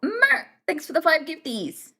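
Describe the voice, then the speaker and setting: A woman's voice: high-pitched, sing-song, unhurried. A young British woman hosting a livestream, chatting casually and teasing her viewers. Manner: chatty, teasing, thanks viewers warmly by name. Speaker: livestream host. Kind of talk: casual chat with live viewers.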